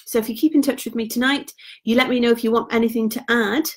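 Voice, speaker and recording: A woman, chatting casually on a live stream about gift sets, her voice going hoarse. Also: a little high, steady tone, quick pace. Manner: chatty and informal, trails off quietly. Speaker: beauty product consultant.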